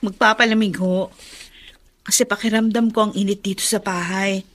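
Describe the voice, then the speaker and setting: An actress playing a fed-up, defiant and wounded young daughter-in-law in a Filipino radio drama, speaking with indignant emotion. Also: female voice, medium pitch, steady tone, normal speed, a bit bright, close to an everyday voice. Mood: tense, defiant.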